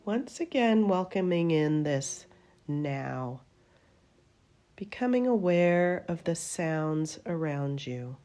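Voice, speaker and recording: A woman with a low, mellow voice leads a guided meditation. She speaks very slowly and gently, in soothing phrases that trail off at the ends. Her tone is dark and relaxed, suited to a teacher of deep rest practice. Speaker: yoga nidra meditation teacher.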